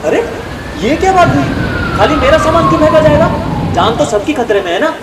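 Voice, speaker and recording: A man's voice: very high voice, brisk, very noisy. A man playing an emotional merchant and devoted friend in an audio drama, speaking expressively. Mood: indignant. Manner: expressive, repeats words emphatically. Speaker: voice actor. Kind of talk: audio drama acting in Hindi.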